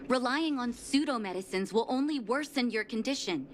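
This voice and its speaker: An actress playing a dedicated female doctor in a game cutscene, speaking firmly and with measured resolve. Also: a little high, lively intonation, slight background noise, natural voice. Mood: reassuring. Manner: firm, measured, quietly determined. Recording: game score music behind.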